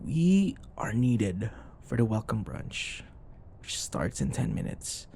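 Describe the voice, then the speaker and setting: Man acting a snarky, animated office rival. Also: fairly low pitch, takes it slowly, gravelly, a little hiss or hum, heavily acted. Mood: terse, resigned. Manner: sarcastic, taunting, sighs and scoffs. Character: snarky, irritable male office rival, teasing and combative. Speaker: audio roleplay performer. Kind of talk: scripted ASMR boyfriend roleplay acting.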